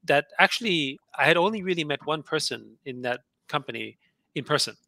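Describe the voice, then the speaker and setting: A man's voice: on the high side, expressive, brisk, creaky, bright, forceful tone, no echo. A middle-aged man who grew up in Austria, with German as his first language, talking casually over a headset on a video call and rambling with frequent restarts. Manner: rambling, many restarts and "you know". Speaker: guest entrepreneur.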